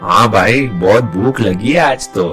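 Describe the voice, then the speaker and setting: A man plays a cheerful, hungry restaurant guest in a storybook cartoon. He talks slowly in a lowish voice over faint music. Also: a bit bright. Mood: jovial. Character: jovial hungry food-loving male hotel guest. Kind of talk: animated moral story dialogue.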